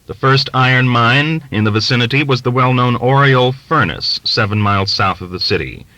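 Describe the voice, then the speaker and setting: A man reading literary fiction as an audiobook narrator, with an expressive, deliberate, dramatic delivery. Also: fairly low pitch, dry recording, low-quality recording.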